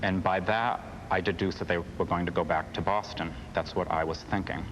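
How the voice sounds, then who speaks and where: A man's voice: low voice, steady tone, moderate pace. A man recounting events in an interview, hesitant and earnest. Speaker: air traffic controller being interviewed. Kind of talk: interview recollection. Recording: clearly noisy interview audio.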